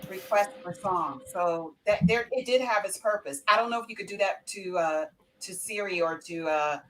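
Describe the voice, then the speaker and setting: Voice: medium pitch, normal speed, fairly bright tone, a little hiss or hum, low-quality recording. A podcast co-host chatting casually with friends. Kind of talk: casual chat.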